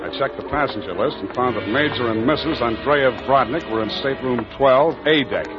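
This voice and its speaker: An actor playing a wry, hard-boiled private detective, wisecracking in 1940s radio noir style. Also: male voice, mid-range voice, brisk, natural voice. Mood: wry, narrating. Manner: deadpan, wisecracking. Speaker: narrator and lead. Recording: dull old radio recording, music bed.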